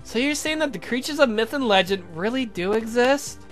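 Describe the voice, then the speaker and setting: Man putting on a high, astonished genteel lady. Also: lively intonation, brisk, fairly bright tone, faint music behind. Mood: amazed. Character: astonished genteel young lady, the count's beloved.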